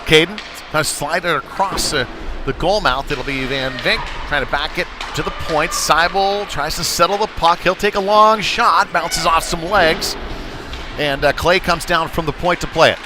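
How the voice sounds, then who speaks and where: A man's voice: on the high side, moderate pace, noisy background. A man doing live play-by-play hockey commentary as the announcer, dropping conversational asides between calls. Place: ice rink, live at the game. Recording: live rink broadcast with music behind. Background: music over venue speakers.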